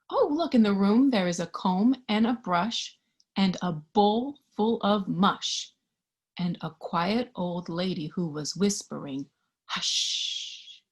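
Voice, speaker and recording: A woman reading a picture book to young children in a gentle, singsong way, sometimes hushed, asking the listener simple questions with childlike wonder. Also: medium pitch, slightly rough. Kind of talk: interactive children's read-aloud with questions to the listener.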